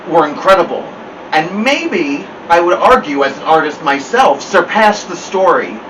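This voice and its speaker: A man with a high voice reads aloud to children in an expressive, playful way. He drops in chatty asides to his listeners. The mic sounds distant and there is clear noise behind him. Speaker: host of a children's story show. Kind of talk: animated read-aloud storytelling with chatty asides.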